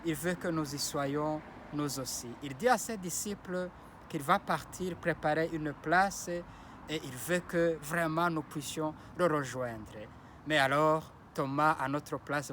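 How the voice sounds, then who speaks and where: A man's voice: a little high, fairly bright tone, a little hiss or hum. A priest whose first language is Kinyarwanda or Kirundi gives a solemn Sunday homily and repeats his blessing phrases. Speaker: parish priest.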